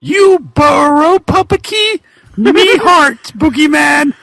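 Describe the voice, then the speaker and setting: Sultry, hateful demon seductress, acted by a man, slow and high, for a comic dramatic reading. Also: expressive, bright, forceful tone, no room sound, heavily acted. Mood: seductive, spiteful. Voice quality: breathy, sultry rasp.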